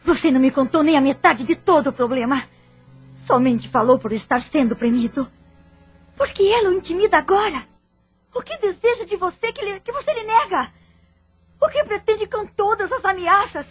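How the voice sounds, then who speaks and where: Actress as a high-voiced, demanding betrayed Brazilian wife. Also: female voice, lively intonation, normal speed. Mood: insistent, accusing. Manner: insistent, interrogating, formal diction. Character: betrayed, determined wife and mother, anxious and demanding. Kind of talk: audio drama acting in formal dialogue. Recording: dull lo-fi audio with music.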